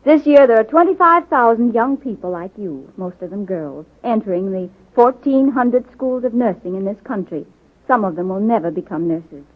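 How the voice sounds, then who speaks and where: Woman as superintendent of nurses, 1940s radio play: formal, deliberate, kindly yet exacting, husky, very thin band-limited sound. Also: medium pitch, expressive, natural voice. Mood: measured, instructive. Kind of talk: 1940s radio drama acting. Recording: muffled phone-like vintage radio recording.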